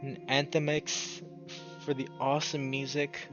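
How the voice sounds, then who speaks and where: A man's voice: mid-range voice, level tone, a little slow, muffled recording. A man giving casual, emotional gameplay commentary as a let's play host, effusive and exclamatory, drawing out his words over game music.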